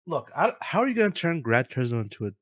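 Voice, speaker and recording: A man's voice: medium pitch, very animated, very fast, dry recording, studio quality. A man co-hosting a gaming show, giving opinions in loose, informal, slangy chat with some swearing. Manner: informal, says bro, swears. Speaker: co-host of gaming news show.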